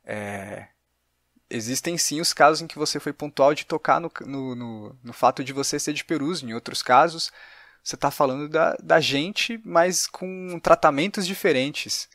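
A man's voice: fairly high pitch, a little slow, a bit bright, dry recording. Dry-recorded Brazilian man interviewing. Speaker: podcast host and interviewer. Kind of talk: conversational interview.

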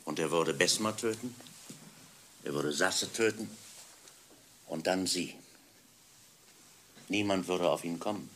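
A man playing a stern, methodical police inspector in a German radio crime drama, speaking emphatically and deliberately. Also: sits low, a bit bright, slight background noise. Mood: grim, resolute. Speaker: actor in a radio crime play.

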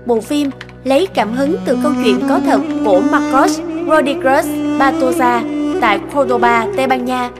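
A woman reading scripted narration to introduce an animated story. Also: sits high, moderate pace, over loud music.

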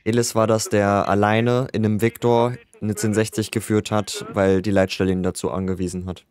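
A man's voice: fairly low pitch, level tone, moderate pace, darkish tone. A man playing a police officer in a game, chatting casually and a little grumpily about his shifts. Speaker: police officer player, streamer. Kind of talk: casual roleplay chat in a game.

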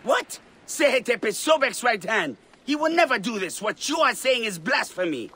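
An actor playing a devout, fervent temple guardian in an ancient Egyptian video game, breathy and emphatic. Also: medium pitch, big pitch swings, moderate pace, a bit bright. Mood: outraged.

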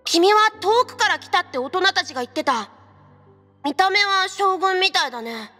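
Very high, bright little boy. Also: expressive, normal speed, full character voice. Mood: innocent, curious. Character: innocent, trusting little village boy. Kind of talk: Japanese game voice acting. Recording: long reverb, music underneath.

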